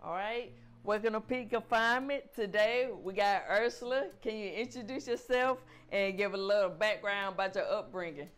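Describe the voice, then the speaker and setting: A woman's voice: mid-range voice, normal speed, few pauses, clear, resonant tone, soft background music. A woman hosting an interview, asking brief, plain questions and giving short acknowledgements. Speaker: podcast host, interviewer.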